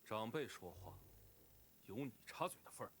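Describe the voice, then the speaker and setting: A stern cultivation clan leader in an animated fantasy drama. His lowish voice is imperious and curt, dripping with scorn, over audible background ambience. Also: moderate pace, a little hiss or hum. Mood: scornful.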